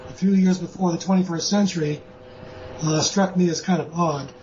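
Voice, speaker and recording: A man being interviewed as a guest author, speaking in a measured, hesitant way with many pauses. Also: high-pitched, steady tone, a little mellow, muffled recording, a little hiss or hum. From born in Austria, lives in the US. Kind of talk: interview answers.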